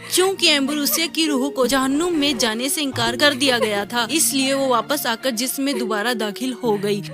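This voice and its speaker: A woman's voice: high voice, moderate pace, music in the background. A woman reading scripted fairy-tale narration as the storyteller.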